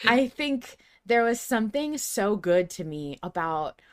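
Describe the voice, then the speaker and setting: A woman with a mid-pitched voice co-hosts a fan podcast. She talks casually and effusively, in loose, informal language, as if among friends. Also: very animated, a little slow, smooth. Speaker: podcast co-host.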